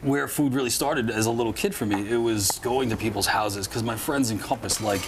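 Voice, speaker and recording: A man, a chef guest telling stories quickly and enthusiastically in a studio interview. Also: on the low side, noisy background. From New York. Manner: enthusiastic storyteller, quick, many fillers. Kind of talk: casual interview chat.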